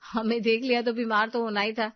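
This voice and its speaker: A woman's voice: a little high, flat delivery, fairly quick, no room sound, dull audio, pitched above the performer's own voice, lightly acted. A woman voicing a bossy, resentful young sister-in-law in a story, scolding and emphatic. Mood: bitter.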